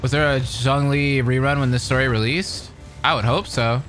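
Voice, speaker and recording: A man doing excitable game reaction commentary, with loud outbursts and cut-off swearing. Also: medium pitch, normal speed. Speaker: gaming commentator. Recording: voice over loud game music. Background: game music.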